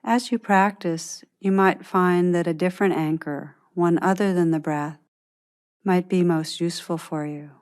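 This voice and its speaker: Lady doing guided meditation, really slow n gentle, kinda low voice, soothing w long pauses. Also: clear, resonant tone. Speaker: meditation teacher guiding a practice. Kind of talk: guided meditation, scripted instruction.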